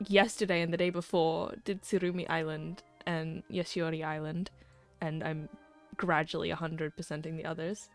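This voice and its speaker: A young woman doing casual live gameplay commentary as a streamer, playful and joking with mock-dramatic asides. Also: lowish voice. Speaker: video game streamer. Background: faint to clear game music.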